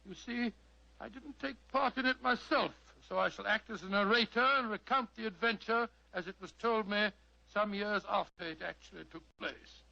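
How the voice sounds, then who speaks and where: An actor playing a genial older English gentleman doctor, narrating an adventure in 1940s radio drama style. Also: sits high, normal speed, vocal fry, no echo, exaggerated voice. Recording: dull vintage radio recording.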